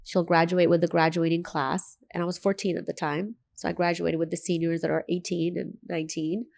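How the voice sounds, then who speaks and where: A woman's voice: lowish voice, little pitch movement, a little fast, vocal fry, a little mellow. A woman from Lebanon with an American accent and Arabic as her first language, telling her personal story as an interview guest in an earnest, emphatic way.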